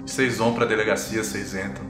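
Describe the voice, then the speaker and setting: Brazilian man, game master, low-pitched, improvised narration, roomy echo, loud music behind. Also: level tone, quick pace.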